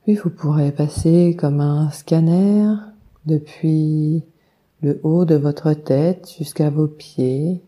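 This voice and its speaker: A woman leading a guided meditation, giving calm, soothing instructions with long unhurried pauses. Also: sits low. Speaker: yoga nidra meditation guide.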